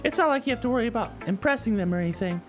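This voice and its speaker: A woman voicing a cheerful, encouraging teenage girl who leads a literature club in a visual novel, chatty and gently coaching. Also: fairly high pitch, expressive, racing, lightly acted. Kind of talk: visual novel character dialogue read aloud. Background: soft background music.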